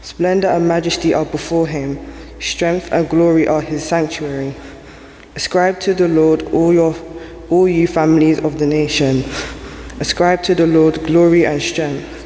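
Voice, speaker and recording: A man reading scripture aloud in a church service at a steady, even pace. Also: on the high side, measured pace. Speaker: scripture reader. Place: large church. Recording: echoey church with long reverb and faint room noise.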